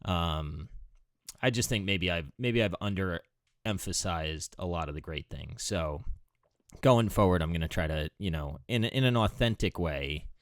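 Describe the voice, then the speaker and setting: A male podcast host with a very deep voice, chatting loosely and joking, full of fillers. The sound is dry and close, with no room around it. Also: unhurried, no echo. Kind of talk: casual conversational interview.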